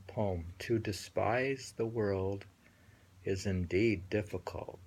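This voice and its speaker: A man reading poems aloud with casual commentary, musing and halting as he goes and often repeating phrases. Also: on the low side, vocal fry, husky. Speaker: host reading and discussing poems.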